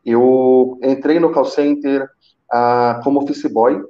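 A man's voice: mid-range voice, steady tone, takes it slowly. A man in his thirties, a senior call center manager, telling his career story on a live video call through a headset, earnest and often restarting with repeated words. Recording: muffled roomy video call audio.

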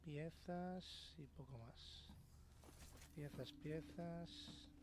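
A man's voice: fairly high pitch, drawn-out words, noisy background. A man with an accent from Spain giving informal, mildly sweary let's play commentary. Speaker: gaming YouTuber. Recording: faint game ambience behind voice. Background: quiet video game ambience.

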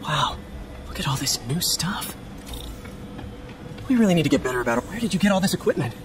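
Quick, high-voiced young American superhero. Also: male voice, big pitch swings, background noise. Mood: awkward, casual. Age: young adult. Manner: casual, hesitant, wisecracking. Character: earnest young superhero scientist, friendly and awkwardly joking. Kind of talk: video game dialogue. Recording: music behind.

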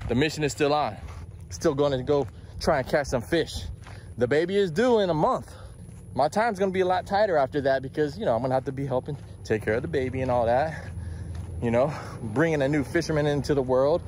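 A man's voice: fairly high pitch, brisk, a little mellow. Fishing vlogger, male, 40s, chatty and upbeat, handheld camera outdoors, a bit winded, faint background noise. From South Florida. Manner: chatty, self-talking, upbeat. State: out of breath from heat. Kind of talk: casual outdoor vlog. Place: outdoors by a canal and in a truck. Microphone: handheld camera at arm's length.

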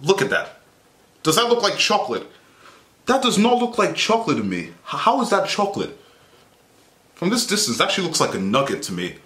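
A young man reacting to a video from his small bedroom, excitable and playful, with teasing asides into the webcam. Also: high voice, brisk, long pauses. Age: young adult. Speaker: reaction vlogger. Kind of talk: casual reaction commentary. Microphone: webcam at desk, earphones in. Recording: echoey room.

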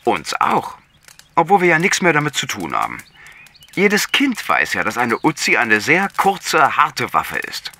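Bright, animated village policeman. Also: medium pitch, unhurried, creaky, exaggerated voice. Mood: eager, informative. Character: talkative, matter-of-fact male village policeman. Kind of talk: radio play phone dialogue.

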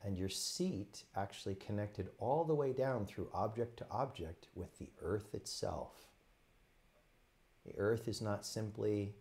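A man with a fairly low voice guides a meditation. He speaks gently and without hurry, setting out short phrases with pauses between them, as a teacher leading students through a practice.

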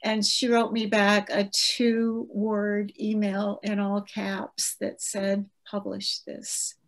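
A woman in her seventies, an author, talking reflectively about her family on a video call, gentle and with frequent restarts. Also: mid-range voice, monotone, slow. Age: 70s. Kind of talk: virtual book event conversation.

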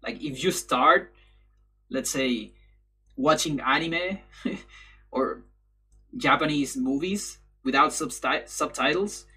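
A man's voice: on the high side, takes it slowly, long pauses, pushed and bright, faint music behind. A young man whose first language is Spanish, chatting casually with stream viewers from his bedroom in rambling, self-correcting English and laughing easily. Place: bedroom, indoors.

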